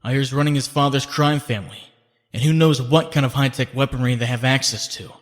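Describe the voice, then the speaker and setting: A man's voice: medium pitch, fairly even intonation, fast, lightly acted. A man playing a young superhero who narrates his inner thoughts in a comic book dub, reflective and confiding. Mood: uneasy, reflective. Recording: long cavernous reverb.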